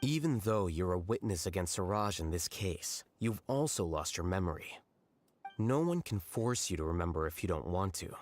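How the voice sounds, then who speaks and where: An actor playing a coolly logical male scholar in a video game, speaking in a measured, detached way. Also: sits low, animated, a little hiss or hum. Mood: calm.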